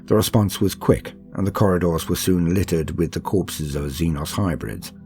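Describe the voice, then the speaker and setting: A man with a deep voice narrates from a book. His delivery is calm and steady, measured like an audiobook meant for relaxing to. Quiet music plays behind him. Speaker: narrator reading a campaign book aloud. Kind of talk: scripted narration, calm bedtime-style audiobook reading.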